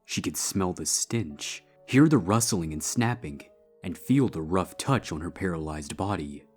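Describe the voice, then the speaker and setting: A man's voice: sits low, lively intonation, unhurried, a little mellow. A man giving a scripted narration of a story as its narrator. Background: background music.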